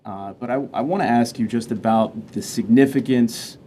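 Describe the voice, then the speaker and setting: A man's voice: medium pitch, normal speed, warm tone, slight background noise. A man moderating a panel, asking questions with hesitant fillers.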